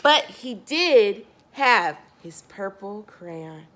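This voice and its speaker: A woman's voice: medium pitch, very animated, drawn-out words, a bit bright, a little hiss or hum. A woman reading a picture book aloud to young children, expressively, stressing key words and speaking directly to her listeners.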